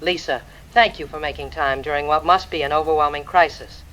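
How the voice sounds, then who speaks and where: A woman's voice: low-pitched, steady tone, brisk, fairly bright tone. A woman playing a serious, formal war journalist who hosts a podcast and asks probing questions in an audio drama. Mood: serious, inquiring. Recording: dull lo-fi audio with clear background noise.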